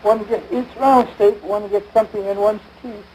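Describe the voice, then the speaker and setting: A man's voice: high voice, steady tone, normal speed, a little mellow, lightly acted. An actor playing a pompous, long-suffering American husband and father in a domestic comedy, speaking formally with dry sarcasm. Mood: dryly pompous. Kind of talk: domestic radio-style situation comedy. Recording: noisy vintage broadcast recording.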